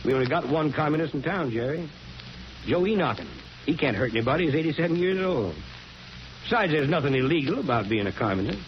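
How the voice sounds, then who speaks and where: Wry folksy american town mayor, highish lively voice, old muffled radio drama. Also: male voice, quick pace. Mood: wry, calm. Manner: folksy, drawling informal speech. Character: folksy small-town mayor, wry, principled, plain-spoken. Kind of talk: 1940s radio drama acting. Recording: muffled, hissy 1940s radio recording.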